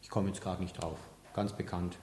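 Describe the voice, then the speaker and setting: A man from Middle Franconia, a pastor and podcast guest, explaining his work in a relaxed way with an occasional chuckle. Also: low-pitched, brisk. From Middle Franconia, Germany. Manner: relaxed, explains at length, chuckles now and then. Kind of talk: casual interview talk. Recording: echoey, reverberant room.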